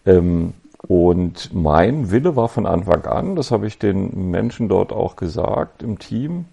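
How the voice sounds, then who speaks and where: A man in his fifties from Berlin with a Berlin accent, telling his story as a podcast guest in an easygoing, reflective way with frequent pauses. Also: sits low, vocal fry, husky. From West Berlin, Germany. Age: 50s. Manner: relaxed, reflective, with many ums and pauses. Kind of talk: casual conversational storytelling.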